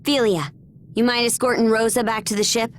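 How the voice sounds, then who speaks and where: A woman's voice: on the high side, steady tone, fairly quick, a little hiss or hum, natural voice. Blunt, brash young warrior princess played by a woman. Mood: thoughtful, casual. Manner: casual, blunt, commanding. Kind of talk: English video game voice acting.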